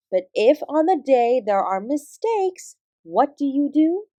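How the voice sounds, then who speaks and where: A woman acts out an apsara living quietly as a dance teacher in an audio drama. Her voice sits fairly high and moves with very animated intonation. She has an instructive, composed manner, recorded in a dry space. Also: dry recording. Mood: proud, encouraging. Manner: encouraging, instructive, addressing a class. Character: female apsara dance teacher, warm, encouraging, defensively composed. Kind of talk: roleplay audio drama acting.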